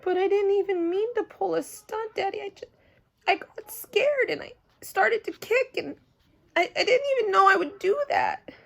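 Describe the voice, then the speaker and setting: A woman voicing an excitable, dramatic, chatty little girl in a children's storybook, blurting and repeating herself. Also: very high-pitched, moderate pace, creaky, voice pushed up, exaggerated voice. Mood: apologetic, upset. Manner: breathless repetition, blurting, childish phrasing.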